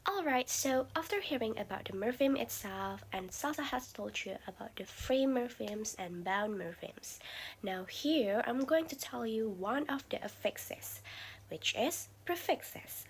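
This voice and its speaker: A woman talks through a class presentation at an unhurried pace. Her pitch moves up and down a lot, and some words come out soft and whispery. Faint noise sits behind her. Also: animated. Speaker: student presenter.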